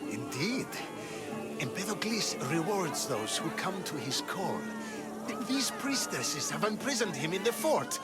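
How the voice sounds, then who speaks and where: An excitable devotee in a game, eager and pleading. His voice is high and bounces around a lot. Loud music plays over the scene. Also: measured pace. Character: excitable devoted male follower of a cult.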